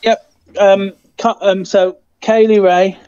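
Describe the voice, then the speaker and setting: A man's voice: high-pitched, flat delivery, slow pace, darkish tone, smooth, dull audio. A man with a Birmingham accent on a call, stammering through restarts and tossing in dry jokey asides. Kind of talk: casual group chat.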